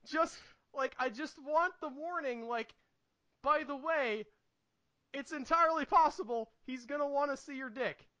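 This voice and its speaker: A man with a notably high, bright voice co-hosts a casual podcast. He talks with comic emphasis and repeats himself for effect as he tells stories. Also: unhurried. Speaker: podcast co-host. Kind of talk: casual comedic chat and anecdote telling.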